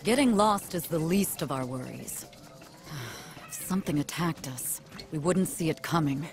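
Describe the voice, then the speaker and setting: Low-voiced, stern warrior. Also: female voice, lively intonation, a little slow, over music. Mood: wary. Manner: firm, no-nonsense. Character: stern, composed adult female warrior. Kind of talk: dramatic video game acting.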